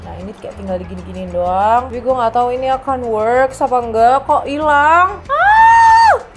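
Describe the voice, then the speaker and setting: A woman's voice: on the high side, big pitch swings, moderate pace, over loud music. A young woman with a stuffy, blocked nose from a heavy cold, chatting casually through a makeup tutorial at home, with offhand self-deprecating asides. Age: young adult. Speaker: beauty vlogger. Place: small indoor room at home, rain outside.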